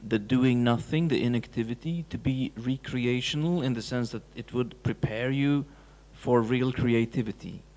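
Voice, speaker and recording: A man's voice: medium pitch, moderate pace, hardly any pauses, a little mellow. A man in the audience pressing a speaker with a follow-up question, restarting his sentences as he goes. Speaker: audience member asking a question. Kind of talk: live public Q&A. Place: large lecture hall. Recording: reverberant hall.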